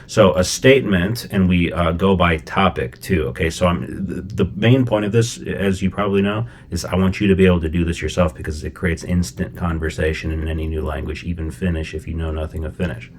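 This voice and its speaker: A middle-aged man from Chicago explaining a language-learning method in a relaxed, conversational way, with plenty of fillers and check-ins. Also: low-pitched, a little fast, hardly any pauses, a little hiss or hum. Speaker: language teacher. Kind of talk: casual explanatory lesson.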